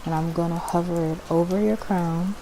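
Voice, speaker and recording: A woman's voice: on the low side, steady tone, normal speed, background noise. A woman hosting ASMR as a spiritual cleansing guide, speaking in a soothing, instructive way and often checking in with the listener. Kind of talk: ASMR personal-attention roleplay.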